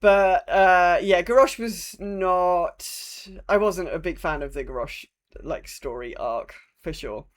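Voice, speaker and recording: A woman's voice: on the low side, takes it slowly. A woman co-hosting a podcast, chatting casually about a video game in a wry, rambling way that can turn sweary. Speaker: podcast co-host.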